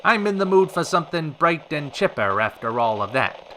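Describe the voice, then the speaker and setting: A man's voice: on the high side, very animated, normal speed, heavy background noise. A man playing a wry, chivalrous radio talk show host in a polished, theatrical old-time style. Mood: showman-like.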